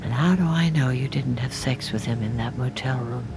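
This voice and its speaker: An actor playing a grieving, menacing father in an audio drama, speaking in slow accusations. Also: medium pitch, vocal fry, music in the background, lightly acted. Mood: accusing. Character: grieving, menacing father blaming someone for son's death.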